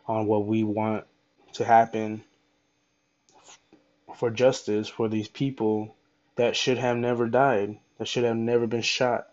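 A man hosting a solo podcast, speaking off the cuff in an impassioned, halting way and repeating his phrases. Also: on the low side, darkish tone, lo-fi sound. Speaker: podcast host. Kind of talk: unscripted solo podcast monologue.

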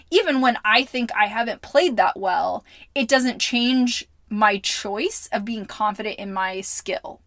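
Woman podcast host, slightly hoarse, fairly high voice, chatty and upbeat, dry close sound. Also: a bit bright, no echo. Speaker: podcast host and life coach. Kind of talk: solo podcast talk.

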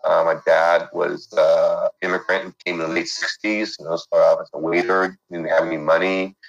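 A man's voice: deep voice, flat delivery, normal speed, gravelly, muffled recording. A middle-aged man from San Francisco, talking casually as an interview guest and rambling with lots of fillers and restarts. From San Francisco, United States.

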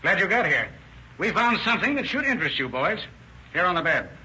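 An actor playing a commanding, clipped, grimly observant fire chief in a 1930s radio drama. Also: male voice, high voice, sing-song, a little fast, sharp tone, full character voice. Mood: grim, businesslike. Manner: clipped, authoritative. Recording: dull old radio recording, faint noise.

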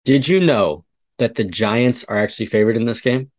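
A man's voice: mid-range voice, normal speed, darkish tone. A man co-hosting a casual sports talk show, chatty and full of fillers as he analyzes a game. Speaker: podcast co-host.

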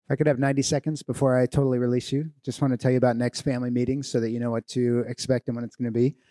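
A man leading a church family meeting, giving relaxed, joking instructions to tables of people. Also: mid-range voice, racing. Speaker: church leader.

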